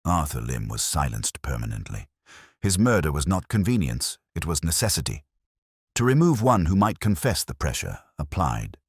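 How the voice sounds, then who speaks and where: A man voicing a commanding, shrewd detective in an audiobook, speaking in deliberate, authoritative statements. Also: very deep voice, very animated, slightly rough, no echo, clean studio sound, full character voice. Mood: accusing, measured.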